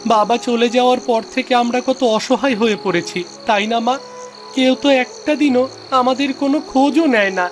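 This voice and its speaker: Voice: mid-range voice, normal speed, faint music behind, natural voice. An actor voicing a frightened, ailing little boy in a cartoon, plaintive, pleading and in distress. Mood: fearful, plaintive. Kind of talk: melodramatic cartoon dubbing.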